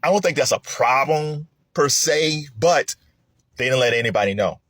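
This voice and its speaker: Guy rambling about movie awards, kinda husky, dry close-mic sound, gets excited then drops low, laughs a lot. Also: moderate pace, no room sound. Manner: animated, chatty, laughs often, swings between excited highs and low muttering. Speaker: film commentator. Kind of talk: casual spoken commentary.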